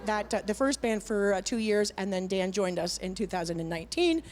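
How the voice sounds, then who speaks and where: A middle-aged woman, a band's singer, chatting as a guest in a TV studio, talking in a lively way with many fillers and restarts. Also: mid-range voice, fairly quick. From Canada. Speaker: band vocalist, guest. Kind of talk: casual chat. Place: TV studio with audience.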